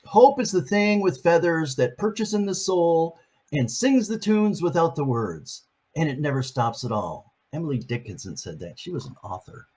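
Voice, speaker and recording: A man's voice: on the high side, animated, moderate pace, a little mellow, breathy edge. An older man, a grandfather, reading a picture book aloud to his grandchildren and chatting about each page. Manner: chatty, explains each page in plain words.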